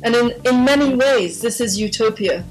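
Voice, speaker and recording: A woman's voice: a little high, normal speed, soft background music, low-quality recording. A woman from Guyana, an environmental activist, talking firmly and conversationally in a phone interview, with small restarts.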